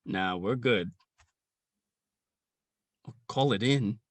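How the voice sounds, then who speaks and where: A young man acting in a comic radio play as a gruff, jaded old police sergeant, crude and rambling in his slangy talk. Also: fairly low pitch, very slow, dry recording. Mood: gruff, dismissive. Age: young adult. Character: gruff, jaded veteran male police sergeant near retirement.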